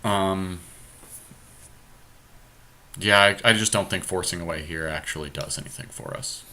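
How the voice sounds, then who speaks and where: A man's voice: sits low, moderate pace, bright, forceful tone. A man giving casual gameplay commentary, thinking his strategy aloud in slangy, everyday speech. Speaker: podcast host playing a card game.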